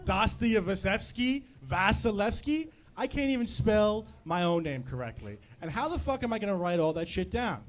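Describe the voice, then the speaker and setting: A man's voice: high-pitched, big pitch swings, moderate pace, hardly any pauses. A man giving a crude, profane comedy rant in a mock debate. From United States.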